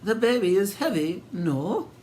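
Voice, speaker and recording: A man voicing a sinister, snappish old woman who runs a guest house, haughty and sharp-tongued in a storybook reading. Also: high voice, very animated, measured pace, a little hiss or hum, voice pushed up. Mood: sly. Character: sinister old lady twin hotel keeper, snappish.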